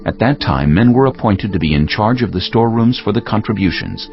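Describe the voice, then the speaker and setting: A man narrates scripture in a very deep, gravelly voice. His solemn, measured reading moves through a lively range of pitch. Music plays clearly underneath. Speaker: audiobook narrator. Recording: slightly dull audio with music behind. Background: clear background music.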